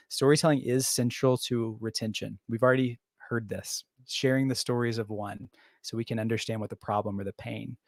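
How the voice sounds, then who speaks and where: A man's voice: fairly low pitch, dry recording, clean studio sound. A man moderating a nonprofit panel, enthusiastic and affirming toward the speakers. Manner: enthusiastic, affirming, laughs often.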